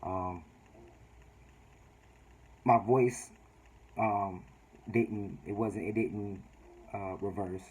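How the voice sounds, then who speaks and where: A man talking casually in a personal vlog update, with a mild, laid-back, halting delivery. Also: lowish voice, steady tone, darkish tone, rough recording. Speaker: vlogger.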